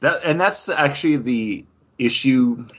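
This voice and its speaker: A man's voice: mid-range voice, a little slow, fairly bright tone, breathy edge. A man chatting casually on a podcast review, phrasing his thoughts in hesitant pieces. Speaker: podcast panelist. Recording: dull, lo-fi audio.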